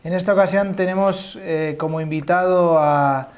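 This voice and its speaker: Guy hosting a talk show, kinda high voice, formal but lots of uh and repeats. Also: steady tone, normal speed. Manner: formal, with frequent fillers and repetitions. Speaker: host. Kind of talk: interview hosting.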